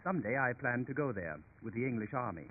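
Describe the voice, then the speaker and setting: An actor playing an earnest, polite, ambitious English military man in a formal, measured 1930s radio style. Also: mid-range voice, expressive, close to an everyday voice. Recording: tinny, faintly noisy 1930s radio recording.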